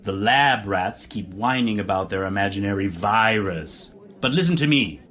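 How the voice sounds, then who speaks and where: An actor playing a bombastic demagogue president, giving a pompous, repetitive, emphatic rally speech in an audio drama. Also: male voice, on the low side, expressive, moderate pace, fairly bright tone. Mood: scornful, boastful.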